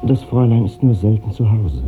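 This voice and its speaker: An actor playing a courteous, shadowy knight in a radio drama, speaking in a measured, formal, courtly manner. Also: male voice, lowish voice, lively intonation, faint music behind, lightly acted. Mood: suave, inviting. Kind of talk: 1950s German radio drama acting. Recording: muffled narrow-band old radio recording.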